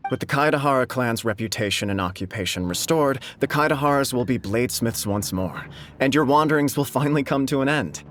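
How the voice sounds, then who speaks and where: A man playing a dignified shogunate official in a video game, speaking in a measured, diplomatic, formal way. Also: medium pitch, fairly bright tone, natural voice. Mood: diplomatic, persuasive.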